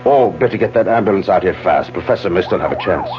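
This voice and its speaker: A muffled old radio recording with faint hum carries a plainspoken policeman. He reports in a low, widely swinging voice, matter-of-fact but puzzled. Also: expressive, fast. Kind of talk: 1970s radio drama acting.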